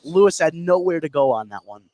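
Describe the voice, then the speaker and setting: A man's voice: on the high side, lively intonation, brisk, background noise. A man giving casual, explanatory color commentary during a live basketball game. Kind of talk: live basketball analysis, conversational.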